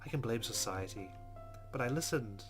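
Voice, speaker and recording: A male gaming host narrates a visual novel as he plays. His voice sits at a middle pitch, and game music plays clearly behind him. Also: lively intonation, normal speed. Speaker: let's play host. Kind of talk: reading visual novel narration aloud with asides.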